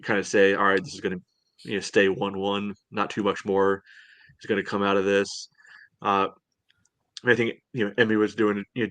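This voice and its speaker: A man with a low voice chats casually about sport as a podcast co-host. His delivery is rambling and full of verbal padding, with little rise and fall. The sound is dry and close. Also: a bit bright, dry recording. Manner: rambling, peppered with "you know".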